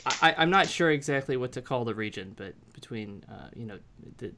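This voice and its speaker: An American man hosting a podcast, chatting casually in a rambling, halting way with many restarts. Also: mid-range voice, expressive, background noise. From United States. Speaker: podcast host.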